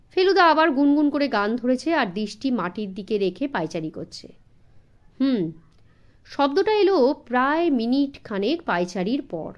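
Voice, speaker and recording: Female audiobook narrator for children's stories, fairly high voice. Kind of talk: scripted story narration for children, first person.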